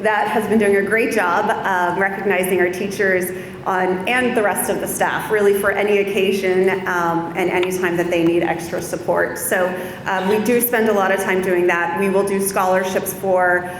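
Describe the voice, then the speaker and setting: Female parent volunteer presenter, informal and informational, medium pitch, heavily echoing hall recording. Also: flat delivery, normal speed. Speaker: parent-teacher organization chair. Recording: very echoey hall, background noise.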